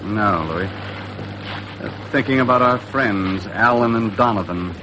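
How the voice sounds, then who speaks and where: An American actor playing a tough, blunt, world-weary charter boat skipper in 1950s radio drama style, terse and hard-boiled. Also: male voice, fairly low pitch, slow pace. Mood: wary. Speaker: lead actor and narrator of a radio adventure. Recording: noisy vintage 1950s radio recording.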